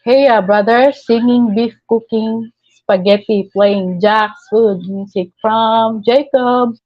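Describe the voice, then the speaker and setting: A woman's voice: on the high side, fairly even intonation, slow, highs cut off. A woman from the Philippines with a Filipino accent, hosting a casual live stream, chatting and reading viewer comments aloud with frequent laughs.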